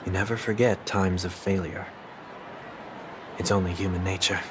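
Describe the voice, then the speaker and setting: An actor playing a calm, solemn fallen leader in a video game, speaking in a formal, measured, reflective way. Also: male voice, low-pitched, noisy background, lightly acted. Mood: wry, reflective. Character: calm, solemn fallen leader man with ear feathers and halo. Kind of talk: English video game voice acting. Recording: loud background music. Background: game music.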